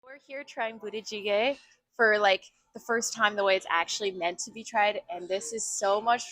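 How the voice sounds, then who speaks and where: A woman's voice: medium pitch, steady tone, normal speed, fairly bright tone, no room sound, rough recording. A young woman, a student, chatting casually on camera and gushing, with lots of "like". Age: young adult.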